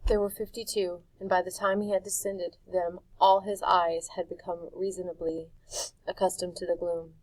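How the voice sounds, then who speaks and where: Low-voiced woman reading a story. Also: no echo, poor audio. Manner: calm, measured reading. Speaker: audiobook reader. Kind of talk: storybook narration.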